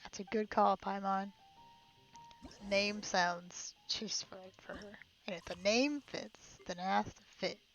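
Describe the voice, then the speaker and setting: A woman's voice: medium pitch, lo-fi sound. A woman chatting casually and a little giggly as she livestreams a video game. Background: game music.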